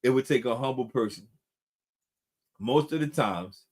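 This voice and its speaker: A man's voice: mid-range voice, a little slow, a little mellow, husky. A middle-aged man leading a recovery group, giving a motivational talk that repeats phrases for emphasis and calls the listeners y'all.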